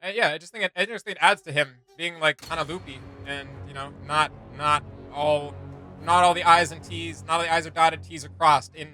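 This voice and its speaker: A man's voice: fairly high pitch, fairly even intonation, a little fast, pushed and bright, soft background music. A man giving casual reaction commentary as a video host, thinking aloud in a rambling way with trailing asides.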